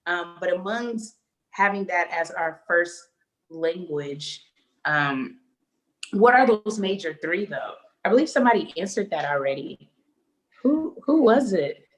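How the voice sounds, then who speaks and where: A young woman co-hosting a casual live stream, playful and animated, laughing often as she reads viewer comments. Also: lowish voice, measured pace, poor audio. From United States. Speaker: co-host.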